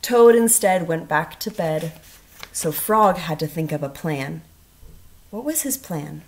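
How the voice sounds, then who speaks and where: A woman teacher reading a storybook aloud to children, expressive and instructional, asking questions as she goes. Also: low-pitched, slow, gravelly, rough recording. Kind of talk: storybook read-aloud with questions to young listeners.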